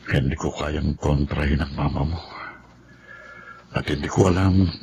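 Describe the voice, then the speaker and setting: A man acting a gentle, weary middle-aged stepfather in a radio drama, with a Filipino accent. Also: very deep voice, a little slow, gravelly, a little hiss or hum, exaggerated voice. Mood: heavy-hearted.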